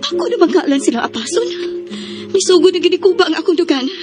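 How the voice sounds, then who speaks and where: A woman's voice: extremely high pitch, big pitch swings, quick pace, music in the background. An actress playing an anxious, clinging middle-aged mother in a radio drama, hesitant and plaintive as she speaks. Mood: worried.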